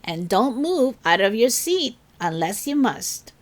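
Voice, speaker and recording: A woman voicing a brisk female schoolteacher giving firm instructions in a children's storybook. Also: a little high, sing-song.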